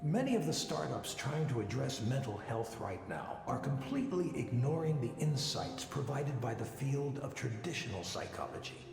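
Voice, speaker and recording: An actor playing a confident male psychologist giving a measured, didactic conference talk in a visual novel game. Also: medium pitch, animated, vocal fry, darkish tone. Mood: critical, assured. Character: confident male psychologist, former tech lead, lecturing an audience. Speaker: conference speaker. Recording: echoey hall reverb, faint music behind.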